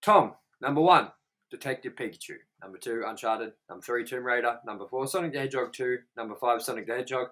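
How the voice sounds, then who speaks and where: Bright-voiced, swearing host. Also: male voice, medium pitch, fast talker. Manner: jokey, loose and swears freely. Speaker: show host. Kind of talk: casual movie review chat.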